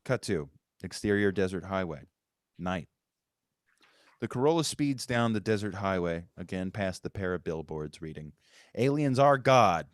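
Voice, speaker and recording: A man's voice: deep voice, lively intonation, moderate pace, no echo, clean studio sound. A man, the writer, reading out screenplay stage directions as narrator at a live table read.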